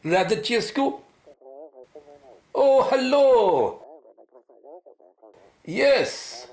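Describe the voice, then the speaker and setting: A courteous academic doctor on the phone in a radio drama. His voice is high and very unhurried, with a roomy echo around it. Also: sing-song, a little mellow, heavily acted. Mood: polite, interested. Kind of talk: radio play acting.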